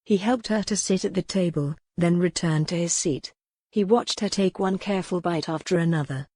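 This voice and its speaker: A woman's voice: fairly low pitch, normal speed, darkish tone, dry recording, studio quality. A woman reading a historical romance novel as scripted audiobook narration.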